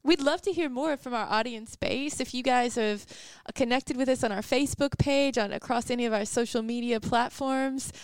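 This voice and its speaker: A woman's voice: fairly high pitch, moderate pace, hardly any pauses, clean tone, no echo. A woman from the American South with a Southern accent, co-hosting a community radio show in an upbeat, friendly chat. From Southern United States. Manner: upbeat, friendly, says "like" a lot. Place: radio studio.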